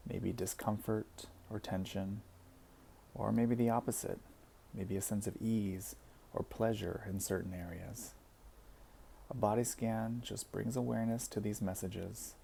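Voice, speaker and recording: A man with a deep, mellow voice guides a meditation. He gives soothing, gentle instructions and leaves deliberate pauses between phrases. His tone has a noticeable fry, and there is faint noise in the recording. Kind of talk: guided body scan meditation.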